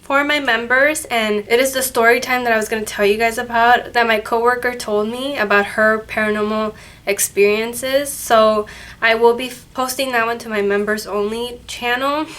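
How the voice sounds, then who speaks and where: A woman's voice: a little high, fairly even intonation, normal speed, a bit bright. A woman hosting her paranormal channel, chatting casually to camera from an office, sounding nasal and congested from a cold and rambling with self-corrections. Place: office at her workplace, indoors. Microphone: camera mic, no microphone.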